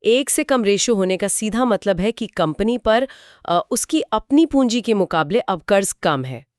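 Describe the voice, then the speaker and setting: A woman's voice: mid-range voice, lively intonation, a little slow, a little mellow, no echo, clean studio sound. A woman co-hosting a conversational explainer, speaking inquisitively and mixing English terms into her speech. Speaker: co-host of a financial explainer discussion.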